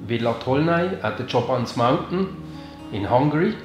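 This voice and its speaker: A man's voice: mid-range voice, lively intonation, normal speed. A man representing a villa, speaking thoughtfully in an interview with fillers. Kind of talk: interview answers in English. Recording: echoey with background music. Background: music.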